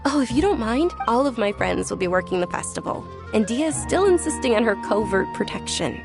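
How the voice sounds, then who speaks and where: A woman's voice: fairly high pitch, big pitch swings, a little fast, vocal fry. A woman playing a polite, earnest, well-bred young lady in a video game, slightly hesitant. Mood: eager. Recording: music behind the voice.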